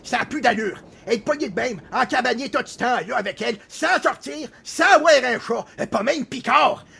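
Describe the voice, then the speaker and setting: Creaky, high-pitched Québécois old man. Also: animated, pushed and bright, full character voice. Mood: exasperated. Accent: Quebec French. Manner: plaintive, dramatic, folksy, drawn-out complaining. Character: bored, exasperated old man, cooped up at home. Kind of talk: radio comedy monologue.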